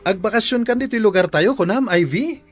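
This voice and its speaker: A woman's voice: medium pitch, expressive, brisk, faint music behind, close to an everyday voice. A woman playing a familiar, helpful village cousin in a radio drama. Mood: curious.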